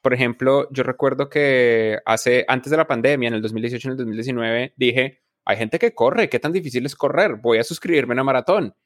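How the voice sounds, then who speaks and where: A man's voice: mid-range voice, a little fast, few pauses. Man musing on experiences. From Colombia. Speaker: guest. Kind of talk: casual interview talk.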